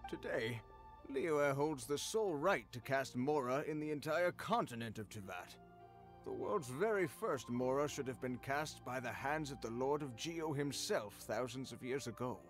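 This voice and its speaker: A man's voice: medium pitch, animated, normal speed, faint music behind, natural voice. An actor playing an earnest, argumentative male scholar in a game, lecturing in an assertive, reverent way. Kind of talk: English game voice acting.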